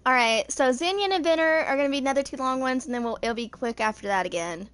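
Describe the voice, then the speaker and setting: A woman's voice: sits high, fast, a bit bright. A woman in her twenties hosting a gaming channel, reading character stories aloud with casual asides, tripping over words and rereading them. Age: 20s.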